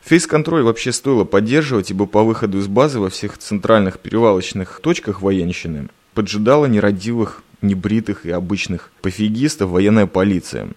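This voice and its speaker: A man hosting his own podcast, telling unhurried memories in a wry, self-ironic way. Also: fairly low pitch, darkish tone. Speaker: podcast host. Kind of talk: reflective monologue.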